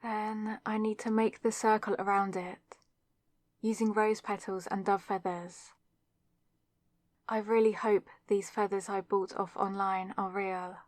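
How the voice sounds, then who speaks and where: A woman's voice: medium pitch, flat delivery, a little slow, a little mellow, no echo, clean studio sound, close to an everyday voice. A young woman playing a nervous, lovestruck student witch who chats earnestly to herself in an ASMR audio roleplay. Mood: focused, chatty. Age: young adult. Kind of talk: ASMR audio roleplay, scripted solo monologue.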